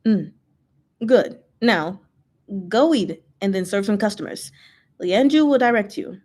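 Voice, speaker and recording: Woman narrator voicing a brisk, strict ghost employer. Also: medium pitch, animated, a little mellow. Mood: curt. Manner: brisk, businesslike, firm. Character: strict ghost gambling den employer laying down rules.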